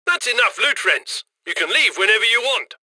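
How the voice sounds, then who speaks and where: A man's voice: high-pitched, big pitch swings, normal speed, sharp tone, no echo, exaggerated voice. An actor playing a cocky, jovial heist mastermind in a video game, chummy and theatrical as he guides his crew over radio comms. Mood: gleeful, greedy. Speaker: mission handler voice in a game. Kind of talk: scripted video game mission voice lines.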